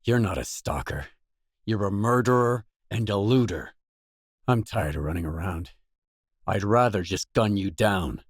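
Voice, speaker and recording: An actor playing a guarded, dry, tough stalker mercenary in a video game, with terse, blunt delivery. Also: male voice, fairly low pitch, animated, a little slow, husky, no echo. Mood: angry, confrontational. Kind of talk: video game voice acting, isolated dialogue lines.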